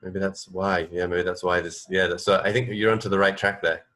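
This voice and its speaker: A man with a lowish voice co-hosts an informal radio show. He speaks conversationally and a bit haltingly, working out his thoughts aloud. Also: steady tone, fairly bright tone. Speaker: radio co-host. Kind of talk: casual radio chat.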